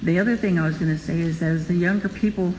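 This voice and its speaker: A Bible study member making a halting comment full of fillers. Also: medium pitch, steady tone, a little mellow. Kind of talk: group discussion comment. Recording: distant from mic in echoey room with noise.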